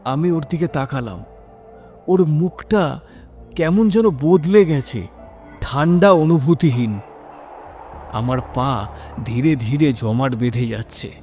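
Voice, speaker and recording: A man's voice: fairly high pitch, slow, long pauses, a little mellow. A man narrating a scripted first-person horror story in a suspenseful, dramatic delivery. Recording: music behind the voice.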